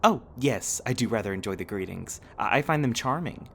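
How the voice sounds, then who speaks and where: A man's voice: mid-range voice, animated, brisk, creaky, fairly bright tone, a little hiss or hum. A man playing a cheerful, literal-minded robot companion in a scripted sci-fi roleplay, speaking in formal, precise, over-explaining sentences. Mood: cheerful, helpful.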